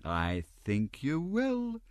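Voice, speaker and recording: A man voicing a fawning, scheming middle-aged woman nurse with a shrill, gushing, wheedling manner. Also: huge pitch swings, extremely slow, clean tone, no echo, clean studio sound, full character voice.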